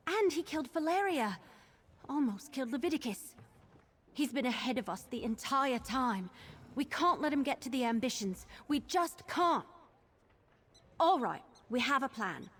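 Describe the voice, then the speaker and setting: A woman's voice: sits high, animated, moderate pace, a bit bright, slight background noise. A woman voicing a spirited, hot-headed young archer in a video game, with an emotional, emphatic delivery. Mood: relieved, upbeat.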